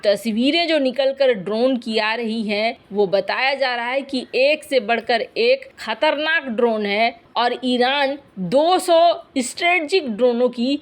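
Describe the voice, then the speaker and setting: A woman's voice: a little high, unhurried, hardly any pauses. A woman news presenter narrating scripted reports in a conversational, explanatory way, addressing listeners directly.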